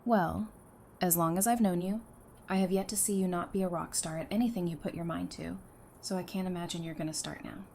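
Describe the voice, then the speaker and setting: A woman's voice: lowish voice, steady tone, fairly quick, vocal fry, a little mellow. A woman acting a caring, blunt wife and mother with casual, wry banter in an audio drama. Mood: reassuring. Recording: noticeable background noise.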